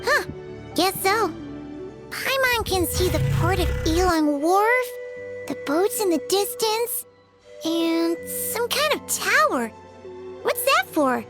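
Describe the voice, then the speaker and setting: Naive little fairy companion for a game: very squeaky, animated, chirpy, music behind. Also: female voice, slow pace, full character voice. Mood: curious, unsure. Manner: refers to self in third person, expressive. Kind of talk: video game voice acting.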